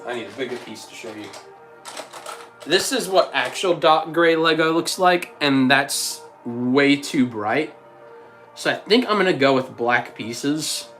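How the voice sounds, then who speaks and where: A man's voice: a little high, a bit bright. Man with a lingering cough, chatting casually. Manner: thinks aloud, chatty, reads out chat messages. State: lingering cough, slight frog in throat. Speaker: livestream host. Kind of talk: casual livestream chat while building.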